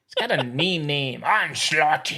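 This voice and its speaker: A man's voice: fairly high pitch, takes it slowly, creaky, bright, forceful tone. A man co-hosting a casual sports podcast, goofing around and playfully stretching out and repeating names. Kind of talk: casual, joking sports banter.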